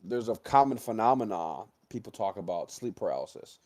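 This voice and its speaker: Male talk panelist, low voice, dry close sound, casual and rambling, circling back over phrases. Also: expressive, darkish tone, dry recording. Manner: rambling, halting with repetitions. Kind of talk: casual speculative discussion.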